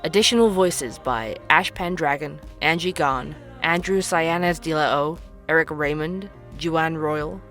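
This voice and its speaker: An actor playing a male podcast host who investigates cold cases, speaking in a calm, measured, explanatory way. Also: a little high, soft background music.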